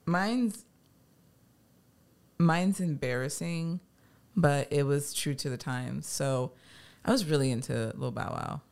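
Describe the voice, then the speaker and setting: A woman in her thirties from the United States, co-hosting a casual podcast and telling stories in lively, slangy chat. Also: low-pitched, slow pace, creaky, long pauses. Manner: animated, chatty storyteller with casual slang. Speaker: podcast co-host.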